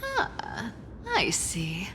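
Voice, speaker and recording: A woman's voice: lowish voice, wildly swooping pitch, extremely slow, pushed and bright, slight background noise, exaggerated voice. A woman playing a sly, teasing trickster demigod in a game, with a playful drawl. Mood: shrewd, teasing. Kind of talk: scripted game drama acting. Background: music.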